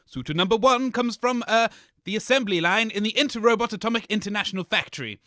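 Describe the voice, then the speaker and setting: A man's voice: high-pitched, quick pace, a bit bright, no echo, clean studio sound. A man co-hosting a comedy radio show with showy game show announcer patter.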